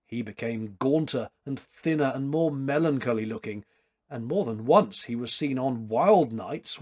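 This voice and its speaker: A man in his fifties reads literary fiction aloud in a measured, expressive storytelling way. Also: mid-range voice, husky. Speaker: amateur story reader. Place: small domestic room, indoors.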